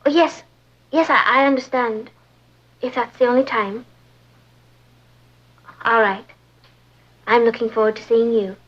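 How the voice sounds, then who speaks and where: A young woman playing a polite, dutiful teenage girl with a genteel, measured manner in a vintage TV sitcom. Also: fairly high pitch, steady tone, a bit bright, lo-fi sound.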